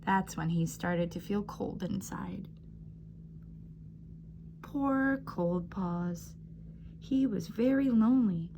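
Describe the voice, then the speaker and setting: A woman telling stories to young children as a library storyteller, with simple, encouraging address and an animated picture-book reading style. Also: mid-range voice, slow pace, creaky, long pauses, background noise.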